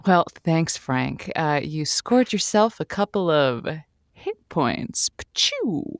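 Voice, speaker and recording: A woman's voice: sits low, big pitch swings, slow, no echo, studio quality, heavily acted. A woman playing a playful, teasing radio advice-show host who rambles and hesitates, in a scripted audio drama.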